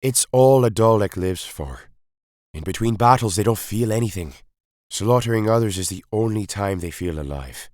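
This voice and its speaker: A man's voice: lowish voice, animated, moderate pace, darkish tone, dry recording, studio quality, pitched above the performer's own voice, natural voice. A man voicing a grave, emphatic Time Lord hero in an audio story, urgent and commanding.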